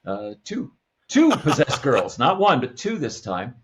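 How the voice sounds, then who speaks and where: A man chatting casually as a podcast guest, in a halting, word-repeating way, on slightly dull audio. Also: mid-range voice, huge pitch swings. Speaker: podcast guest, comedy sketch producer. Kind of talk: casual chat.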